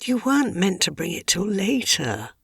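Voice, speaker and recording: Woman playing fussy, creaky-voiced older wife. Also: medium pitch, big pitch swings, moderate pace, a bit bright. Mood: fretful. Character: fussy older wife organising her husband's party. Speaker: actor. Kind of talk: scripted audio drama dialogue. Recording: noisy, clattering dishes.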